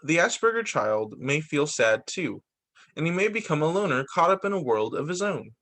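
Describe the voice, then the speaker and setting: A male teacher reading picture books aloud to children, expressive and dropping in short spoken asides of his own. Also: on the high side, normal speed. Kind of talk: read-aloud story time for children.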